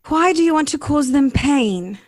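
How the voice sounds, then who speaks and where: Woman acting earnest, high-voiced young idealist. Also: expressive, moderate pace, darkish tone, no room sound, clean studio sound. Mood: troubled. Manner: earnest, questioning. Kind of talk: recreated 1930s radio drama.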